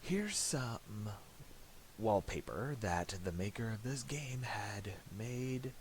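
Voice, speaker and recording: Deep voiced guy doing a software tutorial, thinks out loud, halting, faint hiss and clicks. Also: expressive. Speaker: tutorial presenter. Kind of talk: casual unscripted software tutorial narration.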